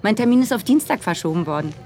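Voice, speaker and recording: A woman's voice: mid-range voice, lively intonation, quick pace, darkish tone, lightly acted. A woman playing a breezy, casual mother of a teenage boy in an audio drama.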